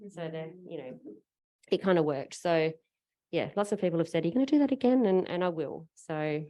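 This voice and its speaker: Chatty woman, mellow voice. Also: lowish voice, expressive, fairly quick. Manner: chatty, rambling storytelling. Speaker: panelist, parent fundraiser. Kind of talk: casual panel talk.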